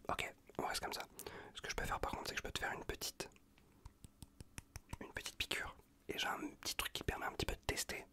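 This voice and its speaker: Guy whispering asmr right up on the mic, bright breathy hiss, casual and reassuring exam roleplay. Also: fairly quick, pauses often. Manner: hushed, reassuring, repetitive, casual slangy talk. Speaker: ASMR performer playing an untrained medic. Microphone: close USB condenser microphone.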